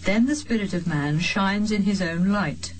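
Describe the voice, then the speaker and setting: A woman reading a sacred text as a solemn audiobook, with measured, deliberate phrasing and pauses between phrases. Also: mid-range voice, slight background noise, rough recording. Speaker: reader of a sacred text translation.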